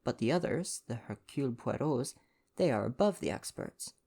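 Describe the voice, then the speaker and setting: Guy doing a fussy vain belgian detective, proud n theatrical, bit of gravel, storybook reading. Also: medium pitch, expressive, moderate pace, warm tone. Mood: lecturing. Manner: pompous, emphatic, formal phrasing.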